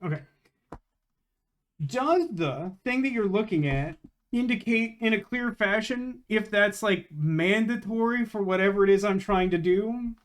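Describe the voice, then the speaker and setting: Man, high-pitched voice, casual streamer commentary, rambling, emphatic, slangy wording. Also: a little slow. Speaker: game streamer. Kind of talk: casual live stream chat, game commentary.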